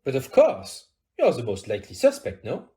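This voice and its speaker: A man's voice: medium pitch, wildly swooping pitch, moderate pace, warm tone. A courteous Belgian detective, probing and sure of himself, with a French-flavored Belgian accent. His formal, exact phrases leap dramatically up and down in a mid-range voice, sprinkled with French interjections in the theatrical manner of an audio play. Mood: probing, insistent.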